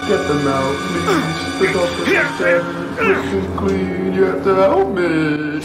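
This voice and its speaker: A man's voice: sits high, big pitch swings, slow pace, heavily acted. A man voicing a desperate asylum victim begging for help in an amateur game voice-over. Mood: desperate pleading. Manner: pleading. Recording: echoey room with music behind.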